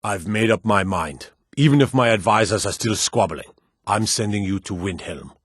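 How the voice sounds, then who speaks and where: Proud, low-voiced warrior lord. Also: male voice, normal speed, slightly rough, no room sound, studio quality. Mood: curt, authoritative. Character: proud, stern middle-aged Nord warrior lord. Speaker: video game voice actor. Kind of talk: scripted fantasy game dialogue.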